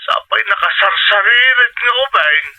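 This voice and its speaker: A man's voice: high voice, lively intonation, measured pace, muffled recording. A man with a Filipino accent playing an older father in a radio drama, coaxing and persuasive as he asks for things. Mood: coaxing, pleading. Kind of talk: Ilocano radio drama acting.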